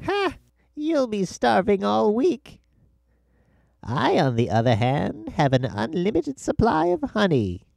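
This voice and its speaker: A man's voice: high voice, huge pitch swings, slow pace, vocal fry, darkish tone, dry recording, studio quality, voice pushed up, exaggerated voice. A man voicing a boastful, jaunty little male ant hero in a children's storybook. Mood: gleeful taunting.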